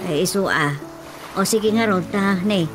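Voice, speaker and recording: A woman's voice: medium pitch, moderate pace, close to an everyday voice. A woman playing a wife talking with her husband in a radio drama. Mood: everyday. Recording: music behind voice.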